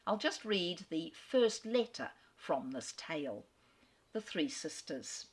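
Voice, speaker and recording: Low-voiced Australian woman lecturing. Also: big pitch swings, slightly rough. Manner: measured, enthusiastic storyteller. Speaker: literary speaker. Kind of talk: lecture on an author.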